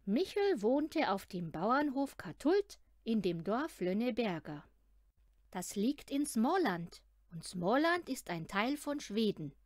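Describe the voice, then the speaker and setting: Lady reading a kids story, unhurried, expressive, talks right to the child, close dry sound. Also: a little high, dry recording. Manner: expressive, addresses the child listener. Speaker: audiobook reader. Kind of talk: scripted children's story narration.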